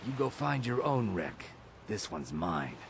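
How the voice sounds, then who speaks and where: An actor playing a wary lizardman scavenger in a fantasy video game, with a gravelly, halting, dramatic delivery. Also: on the low side, big pitch swings, slight background noise, full character voice. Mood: territorial, wary. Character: wary Argonian lizardman scavenger, pleading in fear. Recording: game music behind the voice.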